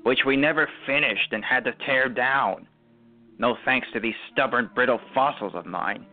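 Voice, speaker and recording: A man's voice: medium pitch, soft background music, lightly acted. Phone-thin man acting a weary, regretful older father. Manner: halting, repeats words. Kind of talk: radio drama acting. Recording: muffled phone-like audio.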